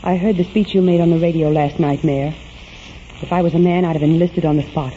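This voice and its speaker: Actress as a fussy, rapid-talking American secretary. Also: female voice, fairly low pitch, fairly even intonation, dark tone, natural voice. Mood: chatty. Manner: fussy, chatty. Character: fussy, chatty female mayor's secretary. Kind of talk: 1940s American radio drama acting. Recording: muffled, noisy vintage radio recording.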